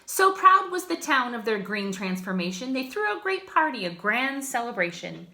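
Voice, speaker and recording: Female children's story reader, expressive and emphatic, highish bright voice. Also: normal speed. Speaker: teacher reading a picture book aloud. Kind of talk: children's story read-aloud.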